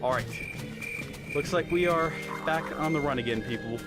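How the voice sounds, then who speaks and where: A man's voice: fairly high pitch, normal speed, loud music behind the voice, natural voice. A man voicing a friendly, folksy young hero on the run. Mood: easygoing.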